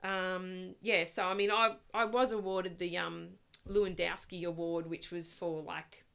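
A middle-aged Australian woman chatting casually as an interview guest, reminiscing in a loud, projecting, storytelling way. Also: fairly low pitch, flat delivery, unhurried, gravelly. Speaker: interview guest, swim club parent volunteer.

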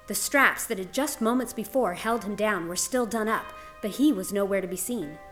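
A woman with a mid-pitched voice narrates a scripted story. Her delivery is dramatic, in a storyteller's style. Soft music sits behind her voice. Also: moderate pace.

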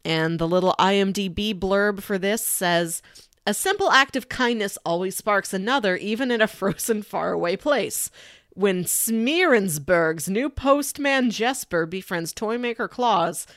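A woman's voice: mid-range voice, expressive, a little slow, fairly bright tone, no room sound. Enthusiastic woman chatting, close dry sound. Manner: enthusiastic, emphatic, uses casual asides. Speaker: podcast co-host. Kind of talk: casual chatty movie pitch.

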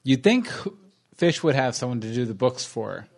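A man's voice: medium pitch, sing-song, normal speed, gravelly, a little mellow. A man co-hosting a casual episode commentary, tossing out wry, joking asides.

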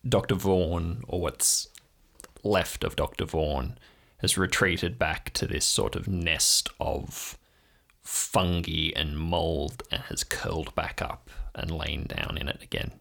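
A man's voice: low-pitched, fairly even intonation, slow pace, vocal fry, fairly bright tone. A man narrating an improvised audio drama as game master, describing scenes atmospherically in the second person.

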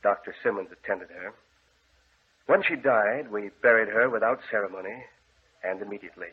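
An actor playing a grave, controlling gentleman in a 1940s radio horror drama, speaking with formal, solemn command and a husky voice. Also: lowish voice, moderate pace. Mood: solemn, sombre. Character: grave, controlling gentleman guarding his deranged brother. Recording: tinny, band-limited old radio recording.

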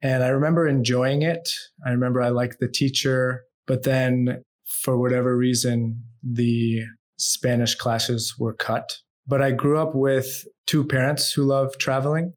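A man from the United States, a podcast guest, telling his life story in a relaxed, reflective way and dropping low and soft as he muses. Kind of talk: storytelling in an interview.

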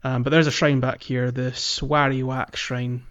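Man, average pitch, conversational walkthrough host, guiding and explanatory, dull recording. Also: little pitch movement, a little slow, fairly bright tone, clear, resonant tone. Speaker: podcast host. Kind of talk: casual game walkthrough chat.

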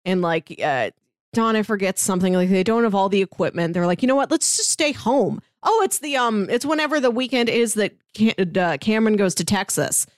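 A woman co-hosting a podcast in casual chat, telling stories animatedly, acting out quotes and slipping in quick asides. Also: medium pitch, no room sound, studio quality. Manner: animated storyteller, acts out quotes, quick asides, laughs often. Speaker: podcast co-host.

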